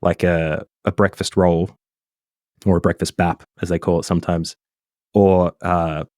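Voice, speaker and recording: Podcast guest: man, mild Australian accent, very low voice, dry close sound, easygoing and rambling. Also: a little slow, dry recording. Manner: relaxed and chatty, with many ums and self-corrections. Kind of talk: casual interview chat.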